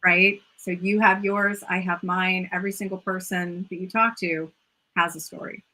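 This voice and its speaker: A woman's voice: on the low side, steady tone, normal speed, pushed and bright, poor audio. A woman hosting a casual interview, enthusiastic and chatty, often repeating phrases and saying "like". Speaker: host and yoga mentor. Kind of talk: casual video-call interview.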